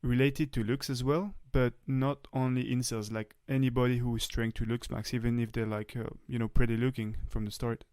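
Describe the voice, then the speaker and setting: A young man from France with a French accent, hosting a casual podcast chat and talking haltingly with many fillers and restarts. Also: mid-range voice, little pitch movement, darkish tone, clean tone. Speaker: podcast host.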